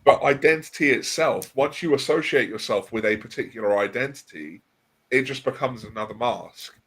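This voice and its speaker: Man, husky voice, mid pitch, hesitant restarts, casual talk host. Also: animated, moderate pace, fairly bright tone, rough recording. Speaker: livestream host. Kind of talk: casual discussion.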